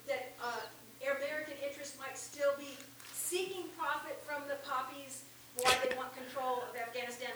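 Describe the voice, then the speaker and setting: A woman in the audience asks a question in a loud, deliberate voice, heard from far off in a large room. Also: sits high, noisy background. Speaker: audience member asking a question. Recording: echoey and far from the mic.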